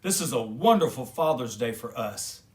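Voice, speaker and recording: A man's voice: medium pitch, lively intonation, moderate pace, darkish tone, lightly acted. A man voicing a hearty, cheerful old grandfather bear in a children's storybook. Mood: content, reverent.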